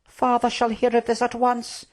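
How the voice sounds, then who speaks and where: An idealistic young prince from a fantasy storybook, performed by a narrator, pitched well above natural speech. He speaks formally, with earnest, pleading outrage. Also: monotone, normal speed, lightly acted. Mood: horrified.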